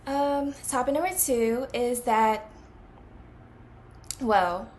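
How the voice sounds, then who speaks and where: A woman's voice: a little high, very slow, a little mellow, slight background noise. A woman of twenty talking casually to the camera as a vlogger, with many fillers. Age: 20s.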